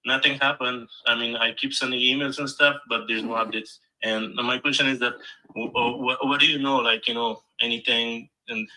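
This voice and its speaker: Bright, sharp-voiced man calling in. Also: medium pitch, fairly even intonation, moderate pace, poor audio. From Afghanistan. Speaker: caller asking for advice. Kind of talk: casual, hesitant questioning.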